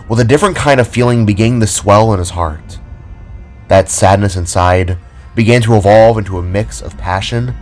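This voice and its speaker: A man's voice: lowish voice, darkish tone. A man narrating a scripted audio drama as a dramatic storyteller.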